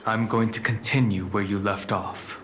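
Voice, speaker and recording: An actor playing a steadfast young male hero in a fantasy game, speaking in a measured, earnest, declamatory way. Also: fairly low pitch, steady tone, background noise, lightly acted. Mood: resolute. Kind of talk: dramatic scripted game voice acting. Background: loud music.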